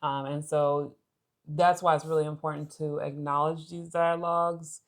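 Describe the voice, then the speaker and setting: A young woman with an American accent co-hosting a relationship show, explaining things casually and haltingly as she thinks aloud. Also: low voice, level tone. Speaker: co-host of a couples' relationship show.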